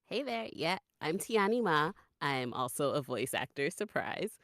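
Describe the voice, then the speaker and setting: A woman's voice: sits low, sing-song, unhurried, fairly bright tone, no room sound, clean studio sound. A woman speaking casually as a voice actor introducing herself. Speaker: voice actor, audiobook narrator. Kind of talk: casual chat.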